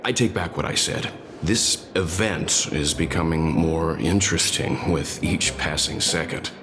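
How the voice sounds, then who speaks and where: A man's voice: extremely low pitch, measured pace, a crowd in the background. An actor voicing a reserved, perceptive male space traveler in a video game, with a dry, musing delivery. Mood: dry, intrigued. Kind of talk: English video game voice acting. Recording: loud music behind the voice.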